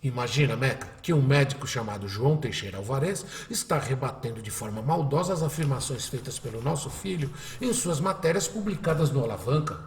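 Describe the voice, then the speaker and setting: An actor plays a proud, folksy older father in a radio drama. He is exclamatory and animated, his pitch leaping about. He sounds echoey and a little away from the mic. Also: fairly quick, few pauses, distant mic, slight background noise, close to an everyday voice. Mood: indignant.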